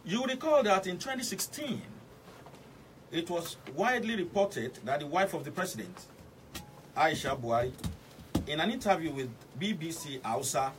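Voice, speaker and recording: A man's voice: a little high, animated, moderate pace. Nigerian Yoruba male radio host, distant mic. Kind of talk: hosting a live radio current affairs programme. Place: radio studio. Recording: noisy, distant-miked radio studio capture.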